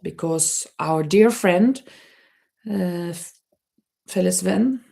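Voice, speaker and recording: A middle-aged woman with a Norwegian accent chats unhurriedly on a home livestream. Her voice has a gravelly fry, and she laughs easily and restarts her words as she reads out comments. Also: on the low side, takes it slowly, warm tone. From Norway. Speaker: livestream host. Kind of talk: casual chat reading viewer comments. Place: home, near a terrace.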